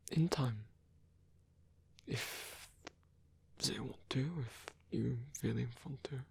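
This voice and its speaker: Husky, whispering old male vampire, acted by a man. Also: fairly high pitch, full character voice. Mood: confiding, gentle. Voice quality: breathy, husky half-whisper. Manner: slow, halting, softly teasing. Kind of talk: ASMR roleplay.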